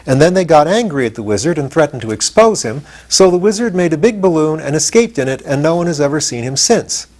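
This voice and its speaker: Man acting a bright-spirited, high-pitched storybook boy. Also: very animated, fast talker, few pauses. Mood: explaining. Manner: eager, matter-of-fact explaining. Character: confident, explaining farm boy, proud and adventurous.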